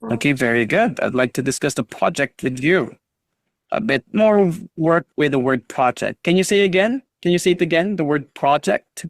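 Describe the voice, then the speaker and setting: A man's voice: a little high, animated, normal speed, no room sound, studio quality. A man teaching an online English class over a video call, patiently drilling students on pronunciation and encouraging them.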